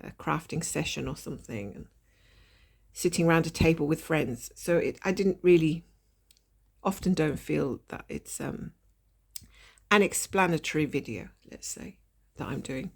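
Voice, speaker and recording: A woman chatting casually as she stitches at her desk, her voice a little nasal and hoarse from a lingering cold, with soft laughs and apologetic asides. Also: deep voice. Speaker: cross-stitch vlogger. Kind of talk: casual stitch-along chat. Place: at a desk indoors.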